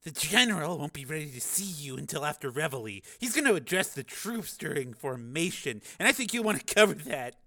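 Man playing a self-assured, gravelly colonel. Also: fairly high pitch, big pitch swings, fairly quick, few pauses, sharp tone, pitched above the performer's own voice, exaggerated voice. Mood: businesslike. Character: courteous, self-assured military colonel, male.

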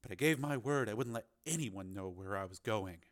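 Man voicing a quiet, guarded woman news reporter. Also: on the low side, lively intonation, fairly quick, lightly acted. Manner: restrained, quiet, firm. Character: tense, determined, guarded female TV news reporter. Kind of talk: acted audiobook dialogue.

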